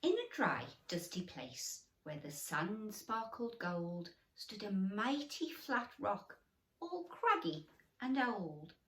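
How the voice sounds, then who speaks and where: Animated woman reading bedtime stories, roomy sound. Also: medium pitch, slow. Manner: animated, gently encouraging, addressing children directly. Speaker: storybook reader. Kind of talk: expressive bedtime story reading for young children. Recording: roomy echo, distant microphone.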